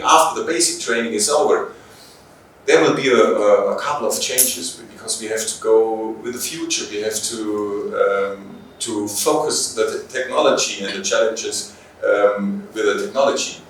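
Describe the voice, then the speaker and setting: A man from Bavaria with a German accent, a native German speaker, answering interview questions as a police trainer, haltingly searching for words in his second language. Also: lowish voice, a bit bright. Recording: very echoey room, distant microphone.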